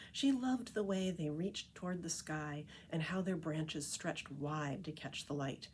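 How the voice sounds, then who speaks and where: A woman reading a children's picture book aloud as a storyteller, with expressive, deliberate emphasis on key phrases. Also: sits low, slight background noise.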